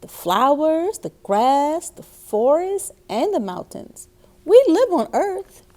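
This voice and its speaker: A woman's voice: high voice, very animated, slow, darkish tone, pitched above the performer's own voice, heavily acted. A woman voicing a loving mother in a storybook, patient and reassuring. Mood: gently explaining. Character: loving, encouraging mother of a little girl.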